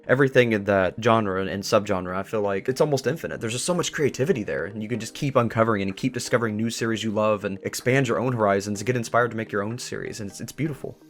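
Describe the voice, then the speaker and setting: A man with a fairly low voice talks very quickly in a casual, enthusiastic discussion. He hosts, stressing points by repeating words. Faint music plays behind him. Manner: effusive, repeats words for emphasis. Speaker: talk show host.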